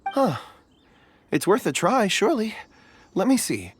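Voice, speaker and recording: A man's voice: sits high, huge pitch swings, measured pace, very noisy, heavily acted. An actor playing a mild, polite young man in a video game, hesitant, sighing and trailing off. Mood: hesitant, faint.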